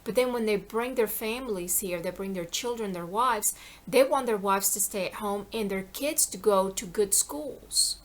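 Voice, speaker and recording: A woman's voice: medium pitch, normal speed. Woman, middle-aged, aggrieved monologue, rambling, repeats words, pleading. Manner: rambling, repeats herself, many "you know"s. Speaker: vlogger. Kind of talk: impassioned personal monologue.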